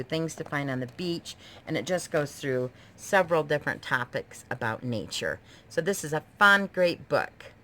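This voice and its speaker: A woman's voice: low-pitched, fairly bright tone. A woman giving a casual show-and-tell book review, talking in an enthusiastic, explanatory way like a homeschooling mother. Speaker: homeschooling mother presenting books. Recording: noisy home video recording.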